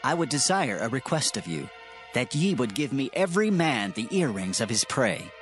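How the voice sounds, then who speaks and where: An actor playing a stern, vengeful ancient Israelite war leader in a dramatized scripture reading, with solemn declamatory delivery. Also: male voice, mid-range voice, sing-song, moderate pace, soft background music, natural voice. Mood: firm, solemn. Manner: declamatory, solemn archaic speech.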